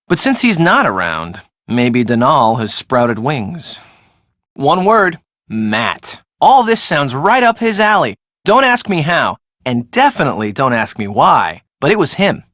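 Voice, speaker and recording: A sarcastic young woman in a video game, casual and slangy. Her pitch swings freely as she makes her wry remarks, and the sound is close and dry. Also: fairly bright tone, no room sound, full character voice. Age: young adult. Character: breezy, sarcastic young woman, evasive friend of the groom. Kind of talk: video game dialogue acting.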